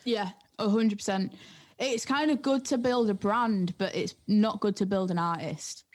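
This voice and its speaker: A woman's voice: medium pitch, measured pace. A young woman from North East England, chatting informally and agreeably as a podcast guest. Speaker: podcast guest, up-and-coming music producer. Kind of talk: casual chat.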